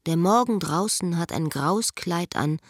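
Introspective schoolteacher, lower-pitched. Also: female voice, lively intonation, a little slow, no echo, studio quality. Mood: pensive. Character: reflective female schoolteacher narrating her own love story. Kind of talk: literary radio drama monologue.

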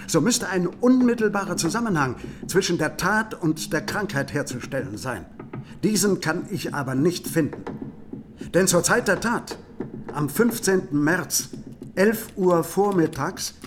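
An actor playing a pedantic medical expert, giving dry, precise and deliberate testimony in a radio courtroom play. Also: male voice, a little high. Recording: noisy background with clicks.

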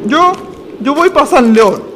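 A man's voice: very high-pitched, animated, slow pace, fairly bright tone, heavily acted. A man playing a chatty, folksy Chilean farm labourer in a radio drama, with colloquial rustic speech. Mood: casual, teasing. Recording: reverberant, slightly noisy radio drama.

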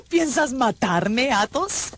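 A scheming villainess in a radio drama. She is haughty and menacing, with a husky voice that swings widely in pitch. Her delivery is theatrical and cold, full of vengeance. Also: heavily acted. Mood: defiant, scornful.

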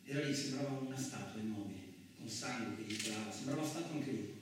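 A man's voice: fairly low pitch, fast talker, recorded from a distance. Male monologue actor in a very echoey room. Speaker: stage actor performing a monologue. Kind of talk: dramatic first-person monologue, colloquial radio theatre. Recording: very echoey room, off-mic, faint noise.

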